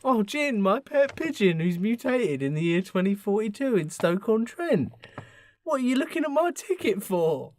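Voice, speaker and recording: A woman's voice: mid-range voice, very animated, normal speed, darkish tone, close to an everyday voice. A woman playing an exasperated, panicky bus passenger scolding a pet pigeon in an amateur improvised radio play. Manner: exclamatory, scolding.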